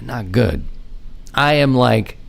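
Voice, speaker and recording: A man hosting a podcast, talking casually and informally in a rambling, self-deprecating monologue with animated asides. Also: medium pitch, takes it slowly, vocal fry. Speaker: podcast host. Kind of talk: casual rambling hobby monologue on amateur radio.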